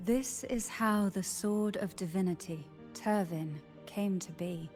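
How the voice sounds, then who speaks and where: A woman playing a composed, secretive noblewoman in a video game, speaking in a formal, measured way. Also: medium pitch, a little mellow. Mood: solemn, explanatory. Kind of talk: scripted video game dialogue. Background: music.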